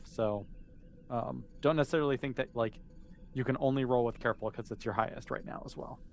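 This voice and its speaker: A man's voice: medium pitch, a little hiss or hum. A man running a tabletop roleplaying game as game master, explaining and narrating in an informal, conversational way.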